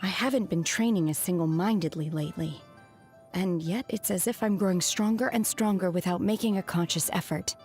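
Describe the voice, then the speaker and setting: An actress voices an aloof young female exorcist from a fantasy game, raised among immortals. Her voice sits fairly low for a woman, with stoic, measured, formal phrasing and a quiet earnestness. Music plays behind her. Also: natural voice. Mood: calm, reserved. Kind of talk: video game character voice lines.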